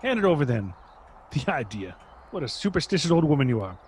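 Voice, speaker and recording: A stubborn older family man in a radio drama. His voice is rather high and moves up and down freely as he grumbles and blusters. Light noise runs behind him. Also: big pitch swings, a little fast, a little mellow. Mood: irritable. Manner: gruff, dismissive, blustering. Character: grumpy, stubborn older husband and father.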